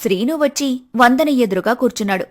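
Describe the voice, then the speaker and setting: A woman's voice: fairly high pitch, lively intonation, fairly quick. A woman reading scripted story narration as the narrator of a family tale.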